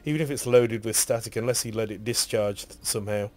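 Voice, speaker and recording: A man with a husky, hoarse voice from a cough, rambling casually while streaming a game and reading item text aloud. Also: lowish voice, fast, a little mellow.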